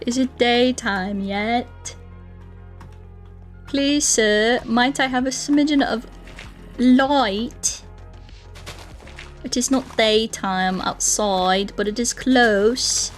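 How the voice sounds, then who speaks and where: A prim, posh British lady played by a woman streamer, mock-polite and pleading, with exaggerated, stretched-out words. Her voice is lifted high, and music plays behind her. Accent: put-on posh British. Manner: exaggerated, mannered, drawn-out.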